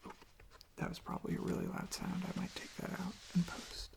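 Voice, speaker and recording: A soft-spoken ASMR host rambling casually in a gentle, hesitant, self-deprecating way, with a breathy, husky, whispery voice close to the microphone. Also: brisk, noisy background. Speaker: host of a relaxation channel showing records.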